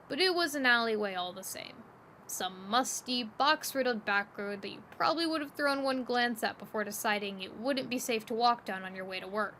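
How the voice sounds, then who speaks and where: A woman narrating a scripted story in the second person as the narrator of an audio drama. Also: a little high. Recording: loud steady background ambience noise. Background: ambient noise.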